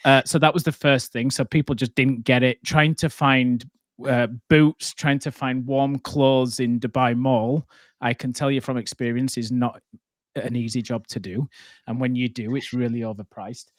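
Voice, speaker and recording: A man's voice: mid-range voice, flat delivery, moderate pace, no echo. A man who is a hospitality entrepreneur guest, telling casual, rambling anecdotes and swearing freely.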